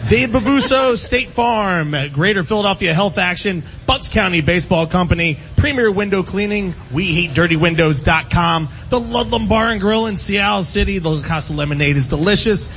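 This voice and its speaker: High-voiced male sports radio host, dull sound. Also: moderate pace, few pauses, a bit bright. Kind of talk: live sports radio show hosting. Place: live remote broadcast at a casino. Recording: dull lo-fi broadcast with faint background noise.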